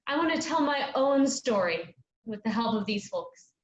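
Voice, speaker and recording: A woman playing an earnest young travelling player in a staged reading of a play, speaking with measured delivery. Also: a little high, lively intonation, natural voice. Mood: earnest pleading. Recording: echoey, dull lo-fi, noisy room.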